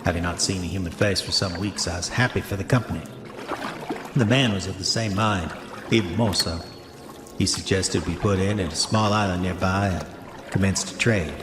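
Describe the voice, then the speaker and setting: A man's voice: low voice, natural voice. An actor playing a roguish, haunted gold prospector reading his diary in formal old-fashioned words for a horror audio drama. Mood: grave, confessional. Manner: formal old-fashioned diction, deliberate, self-justifying. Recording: echoey hall reverb, music underneath.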